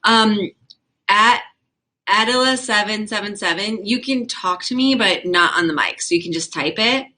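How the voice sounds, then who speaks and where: A woman's voice: medium pitch, moderate pace, sharp tone. A young woman hosting a livestream chat, reading viewer comments aloud and joking around with lots of fillers. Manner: chatty, giggly, lots of fillers. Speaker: livestream host.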